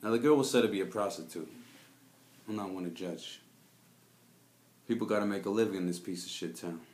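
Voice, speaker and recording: A man's voice: lowish voice, brisk, a little mellow, echoey room, close to an everyday voice. A man playing a cynical, world-weary private investigator, delivering a brooding noir monologue in a confiding, jaded manner. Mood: jaded, bitter. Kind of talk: scripted noir detective monologue.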